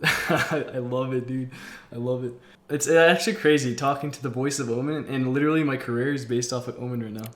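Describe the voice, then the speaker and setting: Man, 20s, American accent, medium pitch, game streamer host, excitable, slangy, casual conversation. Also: brisk, roomy sound. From Massachusetts, United States. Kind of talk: casual chat.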